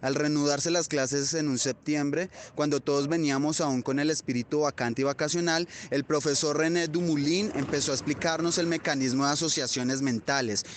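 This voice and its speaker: A man playing a long-winded, self-important pedant telling a story in a literary radio drama. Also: close to an everyday voice. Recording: clear rumbling background noise.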